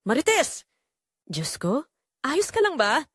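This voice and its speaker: A woman acts a worried neighbor woman in an audio drama. She sounds urgent and caring, pitched somewhat high, in a dry recording. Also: very animated, slow pace, fairly bright tone, no echo, heavily acted. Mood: alarmed, worried. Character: Aling Bebang, alarmed, caring woman neighbor.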